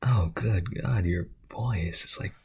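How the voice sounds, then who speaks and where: A man voicing a sleazy, smug alien seducer in an audio roleplay, husky and breathy, with a suggestive drawl. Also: lowish voice, slow, darkish tone, exaggerated voice. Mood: seductive, smug.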